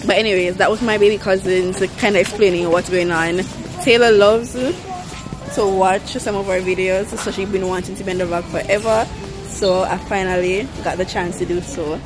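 A young woman chats casually as she vlogs on a handheld phone. Her voice sits at an ordinary pitch, with music underneath. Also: moderate pace. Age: young adult. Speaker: family vlogger. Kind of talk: casual vlog chat. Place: busy street and supermarket aisles. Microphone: handheld phone at arm's length.

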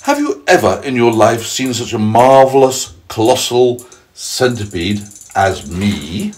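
A colossal storybook centipede played by a man, full of himself and bossy. He relishes his words theatrically, with gleeful, highly animated pitch. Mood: boastful. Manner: theatrical, drawn-out boasting. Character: boastful, bossy, gleeful male giant centipede.

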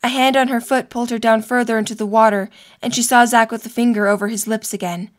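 Slightly high-voiced woman narrator. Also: fairly even intonation, fast talker. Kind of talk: scripted story narration.

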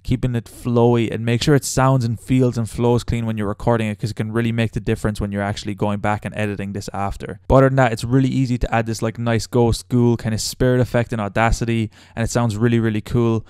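A man's voice: lowish voice, level tone, quick pace, hardly any pauses, darkish tone, clean tone, no room sound, studio quality. A young man hosting a casual step-by-step tutorial as a voice-over. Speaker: tutorial host.

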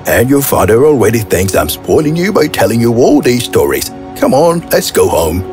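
A man playing a kindly, weary old village grandfather in an animated storybook, with a dramatic, deliberate delivery. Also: mid-range voice. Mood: gentle, coaxing. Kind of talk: animated storybook character acting. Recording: music behind the voice.

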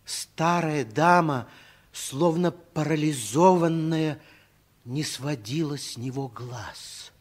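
A man narrating a children's radio drama as a storyteller, in a hushed, suspenseful way. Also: fairly high pitch, slow pace.

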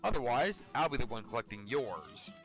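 Man putting on a booming, sly cartoon devil. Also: big pitch swings, normal speed, fairly bright tone, soft background music, low-quality recording. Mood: commanding. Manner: theatrical, taunting. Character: booming sly devil, casino owner, gleefully menacing.

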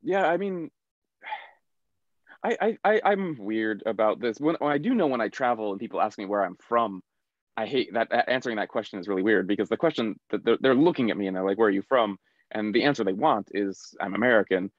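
Casual podcast co-host, a man in his thirties, low and halting, recorded dry and a bit muffled. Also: animated, no echo. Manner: hesitant, many restarts. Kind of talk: casual chat. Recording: dull, dry home mic.

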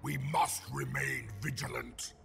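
A man voicing a scheming robot villain in a video game, deliberate and cautioning in manner. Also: mid-range voice, steady tone, gravelly, heavily acted. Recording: loud music over voice. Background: loud music, machinery sounds.

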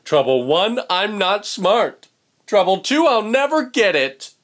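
A man voicing a gloomy, self-doubting young fish in a storybook, with drawn-out, dramatic complaints. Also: high voice, sing-song, fairly bright tone, voice pushed up, full character voice. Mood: woeful.

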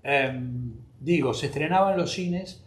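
A man's voice: a little high, a little slow, echoey room. A man in his sixties from Argentina with an Argentine accent, co-hosting a casual comedy chat show and telling reflective anecdotes. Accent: Argentine Spanish. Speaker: co-host.

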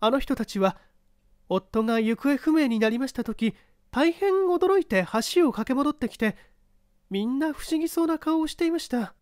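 A woman acting out an uneasy widow under questioning in a mystery story. Her voice sits fairly high, recorded dry and close. She answers politely and formally, in measured phrases. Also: expressive, a little mellow, dry recording. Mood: puzzled, earnest. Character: uneasy widow testifying before an examining judge. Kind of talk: dramatised mystery story reading.

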